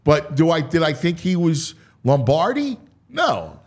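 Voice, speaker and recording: A man's voice: fairly high pitch, very animated, unhurried, darkish tone, breathy edge. An American man hosting a sports talk show in casual banter, repeating and restarting phrases for emphasis.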